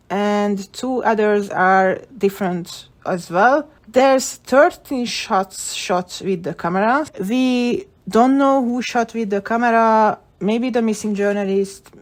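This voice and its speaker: Woman giving casual theory commentary. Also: medium pitch. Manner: speculative, opinionated. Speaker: video creator analysing game story. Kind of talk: casual video essay commentary.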